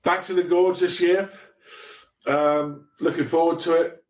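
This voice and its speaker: A man's voice: fairly high pitch, fairly even intonation, unhurried. A man guesting on a podcast over a video call, chatting casually in a rambling way with many restarts. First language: English. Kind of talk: casual chat. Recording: echoey room.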